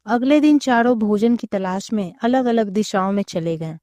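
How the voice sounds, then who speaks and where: A woman's voice: mid-range voice, normal speed, over loud music. A woman narrating a scripted story for children as a storyteller.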